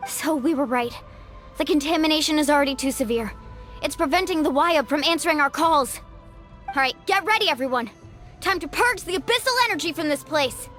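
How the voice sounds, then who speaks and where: A woman's voice: high-pitched, brisk, a bit bright, soft background music. A woman voicing a small, caring young companion in a video game, earnest and protective. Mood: grave, resolute.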